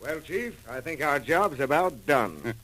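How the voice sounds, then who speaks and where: A brisk, high-pitched radio detective. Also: male voice, sing-song, fairly bright tone, a little hiss or hum. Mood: satisfied, explaining. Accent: American. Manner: slangy, clipped hard-boiled delivery. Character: brisk, confident American police detective with a hunch. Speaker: radio drama actor. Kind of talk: 1940s radio detective drama acting.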